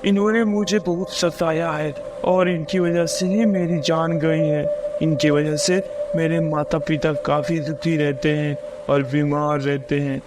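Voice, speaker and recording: A man's voice: a little high, level tone, a little slow, hardly any pauses, heavy background noise, lightly acted. A man voicing a vengeful schoolgirl ghost turned witch in a horror cartoon story. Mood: aggrieved.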